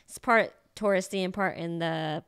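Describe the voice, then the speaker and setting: A woman's voice: fairly low pitch, moderate pace, clean tone. A woman, co-hosting a podcast and chatting casually about her path into acupuncture, with lots of fillers and laughs. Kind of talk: casual chat.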